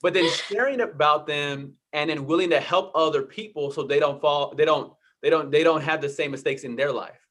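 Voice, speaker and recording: A man with a somewhat higher voice hosts the conversation. He reflects back what his guest says and affirms it, stumbling over repeated words as he goes. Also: moderate pace, few pauses, a bit bright. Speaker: interview host. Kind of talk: conversational interview.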